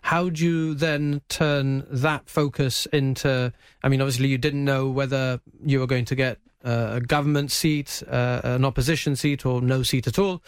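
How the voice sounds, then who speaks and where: A man's voice: medium pitch, fairly even intonation, normal speed, smooth, dry recording, studio quality. A man hosting a live current affairs interview, feeling his way through questions with frequent rephrasing. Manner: hesitant, rephrasing questions as he goes. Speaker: radio show host and interviewer.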